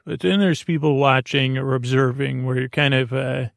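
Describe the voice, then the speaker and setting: A man hosting a bedtime podcast in a creaky voice, meandering and halting as he loses his thread and circles back. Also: medium pitch, fairly even intonation, dry recording, clean studio sound. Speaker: podcast host. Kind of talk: rambling bedtime podcast monologue.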